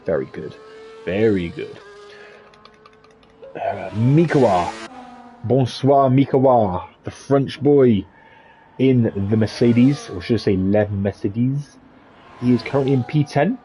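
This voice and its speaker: A man's voice: mid-range voice, slow, long pauses, mellow, slight background noise. A man giving live, chatty commentary on an online racing league, upbeat with playful asides. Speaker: esports race commentator.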